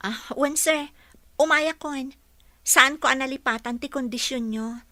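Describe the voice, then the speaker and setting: An actress playing a polite, eager young housekeeper in a radio drama. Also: female voice, high-pitched, measured pace, pushed and bright, no room sound, studio quality. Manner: polite, deferential.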